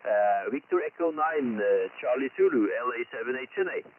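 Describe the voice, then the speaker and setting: A man with a Norwegian accent talking as a ham radio operator over shortwave, halting and polite, often repeating himself. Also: medium pitch, lively intonation, background noise. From Norway. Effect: heard over shortwave radio. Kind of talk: ham radio contact exchange. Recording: tinny band-limited shortwave radio signal.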